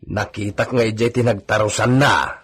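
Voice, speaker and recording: A man's voice: fairly low pitch, steady tone, moderate pace, a bit bright, slightly rough. A man with an Ilocano accent acting a gossipy, obedient male villa stable hand in a radio drama. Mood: respectful.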